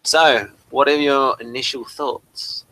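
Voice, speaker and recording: A man's voice: mid-range voice, steady tone, slow, bright, forceful tone, poor audio. A man hosting a casual review discussion. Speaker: host of a review show.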